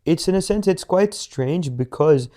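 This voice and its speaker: A man's voice: fairly high pitch, lively intonation, measured pace, mellow. A teenage man from India, a young business founder answering interview questions in a casual, rambling way with many fillers and restarts. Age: teens.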